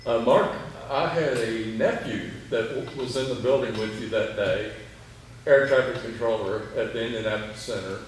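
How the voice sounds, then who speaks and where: An older man, casually asking a halting question from the audience. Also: mid-range voice. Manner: hesitant, halting. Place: echoey meeting room, away from the mic. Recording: very echoey, distant, faint noise.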